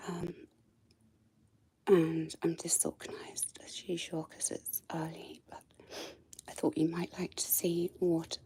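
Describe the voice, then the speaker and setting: A woman with a croaky, mostly whispered voice, giving a casual, hesitant tour of the attic she is renovating, filmed on a handheld camera. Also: fairly low pitch, monotone, takes it slowly, long pauses. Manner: soft, hesitant, trailing off with ums. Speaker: home renovation vlogger. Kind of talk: casual walk-through tour. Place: indoors in a cramped attic.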